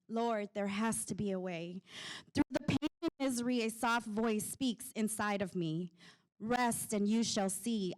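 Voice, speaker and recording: A woman's voice: mid-range voice, darkish tone, rough recording. A woman performing spoken word poetry with impassioned, dramatic, rhyming rhythm. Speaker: poet.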